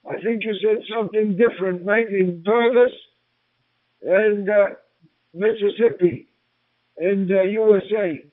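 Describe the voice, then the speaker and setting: Male radio amateur, high voice, unhurried and halting, thin transmission sound. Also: fairly even intonation, no echo. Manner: courteous, hesitant, repeats names and call signs. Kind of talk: ham radio contact, call signs and greetings. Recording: tinny phone-like radio transmission.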